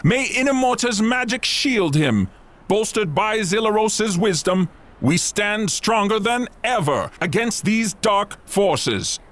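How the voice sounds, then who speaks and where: A man plays a loyal propaganda herald in a game, grandiose and pompous. The voice is high and swings widely in pitch as each scripted line is slowly declaimed. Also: expressive, fairly bright tone, full character voice. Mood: solemn, rousing. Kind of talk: scripted game news announcement.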